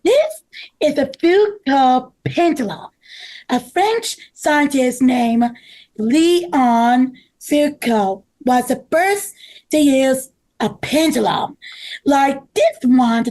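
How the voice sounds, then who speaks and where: A woman's voice: high voice, animated, slow pace, darkish tone, rough recording. A woman playing a friendly, cheerful tour guide friend in a table read of a children's story. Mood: explaining. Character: friendly, organised museum guide friend Tori.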